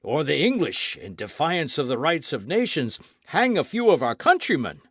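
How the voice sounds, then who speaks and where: A man's voice: a little high, big pitch swings, moderate pace, a bit bright, breathy edge, dry recording, studio quality, voice pushed up, heavily acted. A man voicing a fiery elderly male gun-club veteran who bellows indignantly.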